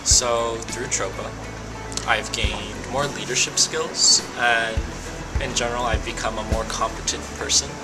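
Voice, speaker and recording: Calm, measured young man. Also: mid-range voice, loud music behind the voice, rough recording. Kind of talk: casual farewell testimonial.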